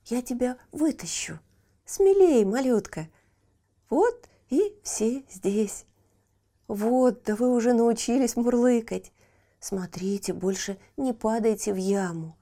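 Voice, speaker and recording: A woman's voice: a little high, takes it slowly, mellow, voice pushed up. A woman voicing a kind, brave and eager young boy in an audio fairy tale, earnest and exclaiming. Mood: encouraging.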